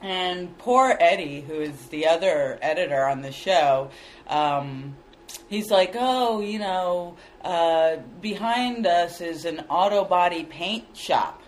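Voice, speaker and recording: A man's voice: sits high, slow, a little hiss or hum. A man whose first language is not English, a guest video editor telling a story casually and acting out what people said. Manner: animated, laughing, acts out quotes.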